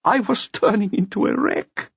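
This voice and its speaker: A man's voice: high voice, normal speed, vocal fry, husky, no room sound, muffled recording, pitched above the performer's own voice, exaggerated voice. A man voicing a bitter, self-loathing drunkard who confesses with agitated self-pity in an audiobook. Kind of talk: audiobook character acting.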